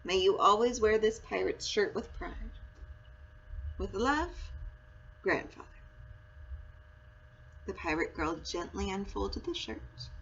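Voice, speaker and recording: A woman reading a children's storybook aloud in an expressive, dramatic and deliberate way. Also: medium pitch. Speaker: story reader, school read-aloud. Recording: dull lo-fi sound with faint noise.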